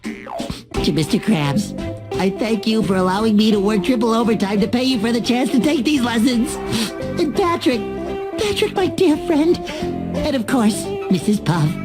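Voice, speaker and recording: A male actor playing an excitable, naive, childlike cartoon sea sponge in a game, with a nasal, squeaky voice. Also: moderate pace. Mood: elated, gushing. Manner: earnest, exuberant, gullible. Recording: music behind the voice.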